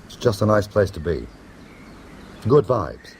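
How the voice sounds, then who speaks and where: An actor playing a glib, dryly witty criminal schemer in a radio drama. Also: male voice, on the low side, very animated, a little slow, a little mellow, slight background noise. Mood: glib, evasive. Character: smooth criminal schemer, glib, tender with his woman.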